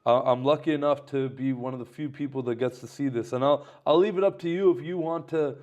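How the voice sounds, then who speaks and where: A man's voice: medium pitch, fast talker. A man in his late twenties, hosting a podcast and talking casually and energetically with many "like"s. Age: 20s. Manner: energetic, loose, heavy use of "like". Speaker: podcast host. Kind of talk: casual conversation.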